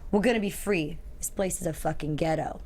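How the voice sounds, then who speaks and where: A woman's voice: fairly low pitch, fairly quick, a little mellow, lightly acted. An actress at a table read playing a blunt, sardonic, foul-mouthed teenage immigrant girl. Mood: bitter.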